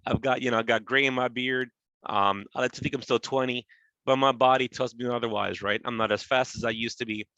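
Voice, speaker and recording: Guy in his 40s doing a casual explainer talk, bright forward voice, really dry sound, rephrases stuff a lot. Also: mid-range voice, steady tone, a little fast, no echo, highs cut off. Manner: conversational, checks in with "right?"; explanatory, rephrases and repeats points. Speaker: government agency presenter. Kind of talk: informal webinar presentation on workplace law.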